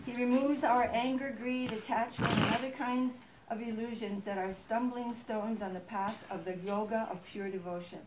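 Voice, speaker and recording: A woman's voice: fairly high pitch, steady tone, normal speed, hardly any pauses. A woman narrating a devotional puppet show as a storyteller, stressing the start of each phrase. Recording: echoey room with faint background noise.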